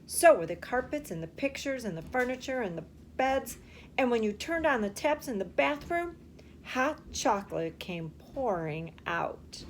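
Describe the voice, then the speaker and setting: Excitable, secretive old grandpa, read by a woman, lively pitch swings, suspenseful pauses, storybook read-aloud. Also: a little hiss or hum. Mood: excited, emphatic. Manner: slow, dramatic pauses for suspense.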